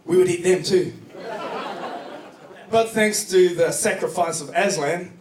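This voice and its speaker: Echoey, high-pitched New Zealand male stand-up. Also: a little slow. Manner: profane, joking storytelling. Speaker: stand-up comedian. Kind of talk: stand-up comedy set. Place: comedy club stage before a live audience. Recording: distant echoey club recording, noisy laughing crowd.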